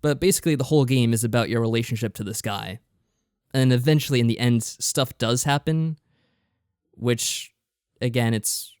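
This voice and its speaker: A man's voice: mid-range voice, no room sound, clean studio sound. A man co-hosting a podcast, explaining things in a casual, matter-of-fact review style. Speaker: podcast co-host reviewing visual novels.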